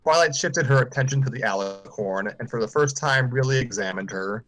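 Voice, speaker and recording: A man's voice: medium pitch, a little fast, fairly bright tone, faint music behind. A man narrating a story aloud informally, sometimes stumbling and repeating phrases. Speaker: story reader on a livestream.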